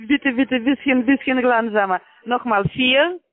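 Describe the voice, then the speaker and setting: High-voiced man in broken German. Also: a crowd in the background. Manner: broken, halting German, patient and repetitive. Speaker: phone scammer. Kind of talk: phone call. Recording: tinny phone line.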